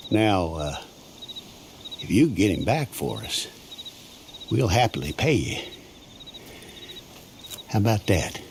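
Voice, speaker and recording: A man's voice: low-pitched, very animated, takes it slowly, gravelly, pauses often, slight background noise, exaggerated voice. An actor playing an exasperated, bitter Old West lawman with an American accent and a scornful, sarcastic manner.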